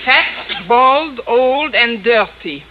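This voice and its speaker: Insulting French madame, French accent, broken English, animated pitch, muffled radio comedy recording. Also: female voice, very slow. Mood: haughty, insulting. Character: haughty, insulting French madame in comic disguise. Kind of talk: 1950s radio comedy acting. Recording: dull noisy old radio recording.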